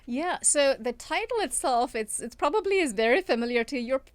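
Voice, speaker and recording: Clear-voiced middle-aged Indian-accented woman. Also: high voice, lively intonation, a little fast, dry recording. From South India. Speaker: interview guest, tech executive and author. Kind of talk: conversational interview, personal storytelling.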